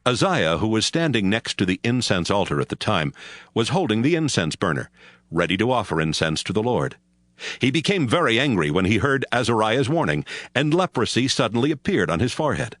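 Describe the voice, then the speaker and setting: A man's voice: lowish voice, big pitch swings, brisk, a bit bright, no room sound. A man reading scripture as scripted narration for an audio Bible. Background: music.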